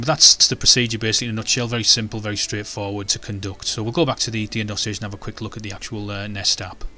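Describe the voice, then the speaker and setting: Male tech tutorial presenter, informal and instructional, lowish pitch, flat delivery. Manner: informal step-by-step explaining. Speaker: technical presenter. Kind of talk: product demonstration tutorial.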